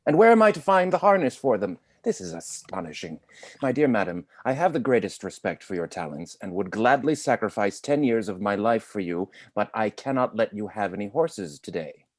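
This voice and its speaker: A man's voice: on the low side, moderate pace, no room sound. A man playing a blustering, obstinate estate manager in a play reading, emphatic and formally polite. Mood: exasperated. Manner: emphatic, animated. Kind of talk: cold play reading over video call.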